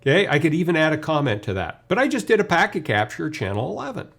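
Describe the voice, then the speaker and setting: A man's voice: a little high, very animated, quick pace, gravelly, fairly bright tone. A man gives an informal technical demonstration as a webinar presenter, talking through each step conversationally. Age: 50s. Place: indoor video studio.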